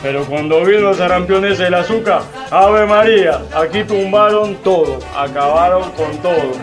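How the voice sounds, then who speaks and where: An actor playing a folksy, indignant veteran cane cutter with a Cuban accent, colloquial and exclamatory in a radio drama. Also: male voice, sits high, normal speed, lightly acted. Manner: colloquial, exclamatory, vivid storytelling. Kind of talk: radio drama monologue in casual talk. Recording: faint music behind.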